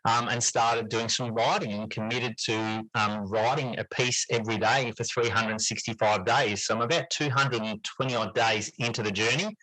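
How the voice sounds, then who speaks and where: A middle-aged man talks as an interview guest, explaining things reflectively. His voice is bright and clear-edged, at an ordinary male pitch. The sound is dry, with very little room around it. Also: steady tone, normal speed, hardly any pauses, no echo, low-quality recording. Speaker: interview guest, teacher and writer. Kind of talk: conversational interview answers.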